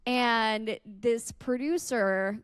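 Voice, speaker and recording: A woman's voice: a little high, extremely slow, clean tone, no echo, studio quality. An American woman co-hosting a podcast, chatting and telling stories in a casual, joking way. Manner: joking, teasing. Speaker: radio personality and podcast co-host. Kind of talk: casual podcast chat and storytelling.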